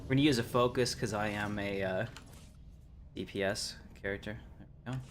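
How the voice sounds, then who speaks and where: A man's voice: on the low side, steady tone, a little fast, slight background noise. A man streaming a game, talking casually in a rambling, informal way. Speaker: game livestreamer. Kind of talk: casual livestream chat and commentary.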